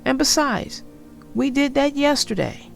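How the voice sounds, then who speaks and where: A woman's voice: high voice, animated, unhurried, creaky, soft background music, voice pushed up, heavily acted. A woman voicing a stubborn, dismissive young boy bear cub, contrary and emphatic, in a storybook read-aloud. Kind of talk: storybook character voice.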